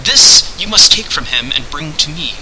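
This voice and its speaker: A man's voice: fairly high pitch, lively intonation, normal speed, pushed and bright, slight background noise, pitched above the performer's own voice, low-quality recording. A man voicing a commanding, courteous unseen sorcerer who speaks in formal, declamatory archaic phrases. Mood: formal, bargaining.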